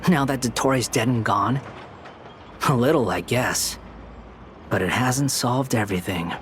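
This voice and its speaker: A man's voice: mid-range voice, slight background noise, close to an everyday voice. Game wanderer played by a man: young, sardonic, bluntly wise, medium register, faint ambience behind. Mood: brooding. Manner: blunt, dry, reflective. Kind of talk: dramatic video game dialogue.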